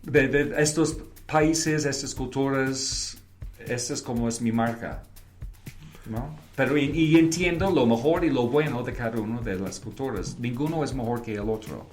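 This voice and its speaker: A man from Canada speaks with a native English speaker's foreign accent, at a middling pitch. He answers interview questions in a halting, conversational way and mixes in English words. Faint music sits behind his voice. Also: darkish tone. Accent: English-speaking foreign accent in Spanish. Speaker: interview guest, advertising creative and professor.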